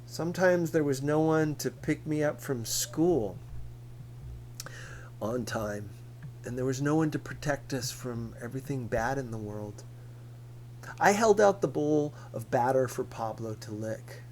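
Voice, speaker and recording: A man's voice: mid-range voice, lively intonation, unhurried, a little mellow. A man reading a novel aloud as an audiobook narrator, in scripted storytelling narration. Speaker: audiobook reader. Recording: clearly noisy recording with hum.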